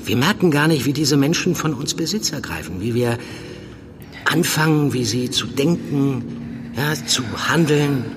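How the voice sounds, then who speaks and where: An actor playing a middle-aged undercover police handler in an audio drama, speaking in measured, deliberate phrases as a controlled, probing mentor. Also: male voice, mid-range voice, animated, background noise, lightly acted. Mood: earnest, understanding. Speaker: actor in fiction podcast. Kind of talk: naturalistic German audio drama acting.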